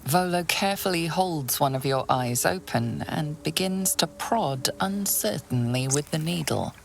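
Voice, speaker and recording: A woman playing a coolly composed, ominous narrator in a fantasy video game, telling the story in a measured way. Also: deep voice, expressive, vocal fry, slight background noise, exaggerated voice. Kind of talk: scripted second-person game narration.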